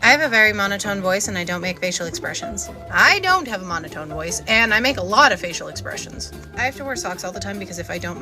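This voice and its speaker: Brisk woman speaking over clear music. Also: mid-range voice. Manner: matter-of-fact back-and-forth statements. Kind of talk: scripted short video skit.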